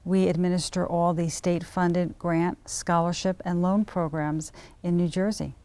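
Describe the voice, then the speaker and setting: A woman's voice: fairly low pitch, level tone, unhurried, mellow. A woman guest on a talk show, an agency executive director, answering in a confident, businesslike way. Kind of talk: interview answers.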